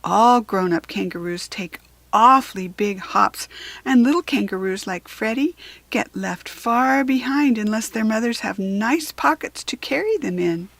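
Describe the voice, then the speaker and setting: A woman narrates a children's storybook with deliberate, dramatic phrasing. Her pitch moves freely and her voice has a husky, breathy grain. The sound is close and dry. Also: expressive, a bit bright, no room sound. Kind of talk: expressive read-aloud narration for children.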